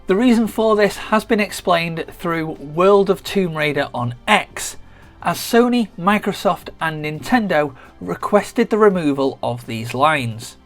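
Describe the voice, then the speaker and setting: Measured gaming video narrator over music. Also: mid-range voice, expressive. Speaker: video creator and host of a gaming channel. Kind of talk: scripted video essay narration. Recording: music behind the voice. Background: background music.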